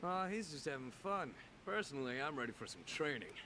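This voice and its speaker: A man's voice: fairly high pitch, expressive, fairly quick, pushed and bright, a little hiss or hum. A man voicing an easygoing, athletic young man in an anime video game.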